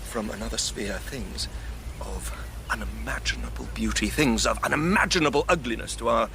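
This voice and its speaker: An earnest, imaginative gentleman played by a male actor in a period drama. He is middling in pitch with a creaky edge and speaks thoughtfully and a little hesitantly, over noticeable background noise. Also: moderate pace, close to an everyday voice. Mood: awed, wistful. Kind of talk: period radio drama acting. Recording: clearly noisy background.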